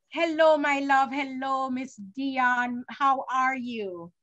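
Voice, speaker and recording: A woman's voice: sits high, steady tone, drawn-out words, fairly bright tone, dull audio. A Jamaican woman hosting a culture show, speaking to her audience in an exuberant, theatrical way with sing-song emphasis. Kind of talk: TV presenting to audience.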